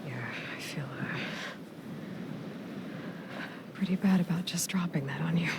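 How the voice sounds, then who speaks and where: A woman playing a young, earnest and caring huntress in a video game cutscene, speaking haltingly and often breathily. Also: low-pitched, gravelly, heavy background noise. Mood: uneasy, subdued.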